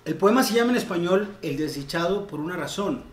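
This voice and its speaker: Man, literary talk host, fairly high voice, declaims poems aloud, reverberant room. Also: animated, fairly quick. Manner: reads translated poems aloud with raised, declaiming voice. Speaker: writer leading a literary talk. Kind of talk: literary talk with poetry reading. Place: room in a house.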